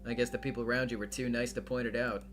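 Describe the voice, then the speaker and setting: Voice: mid-range voice, little pitch movement, rapid-fire, music in the background, natural voice. A performer voicing a blunt, sardonic young man in a visual novel, muttering and mocking.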